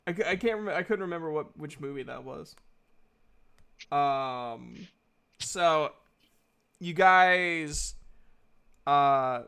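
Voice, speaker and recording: A man serves as game master for an online tabletop game. His voice sits a little high for a man, and he takes his time, feeling out each phrase with hesitant stops and starts. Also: fairly bright tone, clear, resonant tone. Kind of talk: improvised tabletop game narration.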